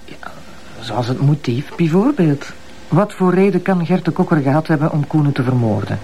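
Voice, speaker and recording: A Flemish actress playing a candid, sceptical deputy prosecutor in a naturalistic TV crime drama. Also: female voice, low-pitched, animated, moderate pace, mellow, slight background noise. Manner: colloquial, questioning.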